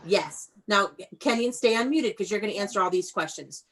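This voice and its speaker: A woman's voice: mid-range voice, expressive, normal speed. A woman teaching young children in an online read-aloud class, prompting them with questions and encouragement in an instructive way.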